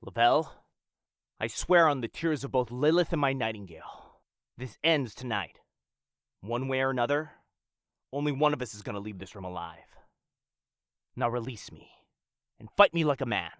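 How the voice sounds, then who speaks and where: A man playing a gentle but brave immortal lover in a fantasy audio roleplay, tender and hushed with his beloved, impassioned when defiant. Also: medium pitch, animated, normal speed, pauses often, no room sound, clean studio sound, close to an everyday voice. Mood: defiant, furious. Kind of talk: boyfriend ASMR fantasy roleplay acting. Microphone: close, dry studio-style mic.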